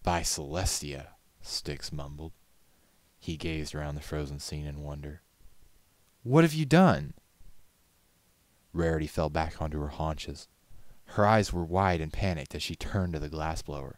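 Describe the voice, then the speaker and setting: A man reading a story aloud as narrator, in a measured, solemn, dramatic audiobook style. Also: very deep voice, fairly even intonation, no room sound.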